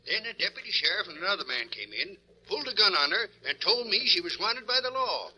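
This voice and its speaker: A man's voice: sits high, big pitch swings, quick pace, pushed and bright, a little hiss or hum, exaggerated voice, poor audio. An actor playing an earnest, rattled telegraph operator telling his story in an old radio western. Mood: earnest, agitated.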